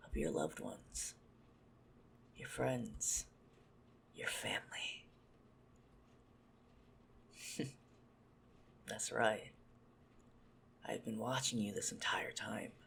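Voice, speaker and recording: A man playing a possessive, menacing, smug vampire stalker in an ASMR roleplay, haughty and teasing in a deliberate murmur. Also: fairly low pitch, lively intonation, vocal fry, a bit bright, exaggerated voice. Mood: menacing, jealous.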